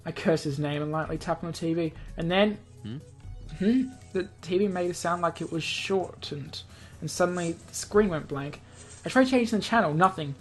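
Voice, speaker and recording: A woman with a somewhat low voice narrates a visual novel as an amateur let's player. She reads in an offhand way, now and then tripping on a word and taking it again. Music plays behind her. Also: normal speed. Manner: stumbles over words and re-reads lines. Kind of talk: amateur read-aloud narration with offhand remarks. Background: game background music.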